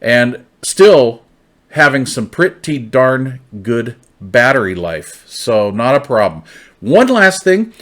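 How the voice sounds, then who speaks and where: A man's voice: mid-range voice, big pitch swings, takes it slowly, a bit bright. Casual man giving a hands-on gear review.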